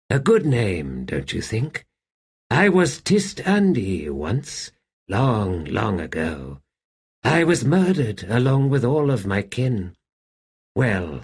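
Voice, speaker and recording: A man voicing an ancient male wraith who speaks formally with quiet amusement. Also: on the low side, big pitch swings, slow, gravelly, slightly rough, full character voice. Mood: amused. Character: ancient undead male wraith, courtly and sly.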